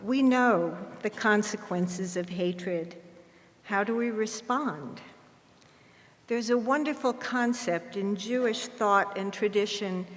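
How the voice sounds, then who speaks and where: A woman presenting a scripted ceremonial speech in a measured, earnest manner. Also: mid-range voice. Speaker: event presenter. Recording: reverberant hall.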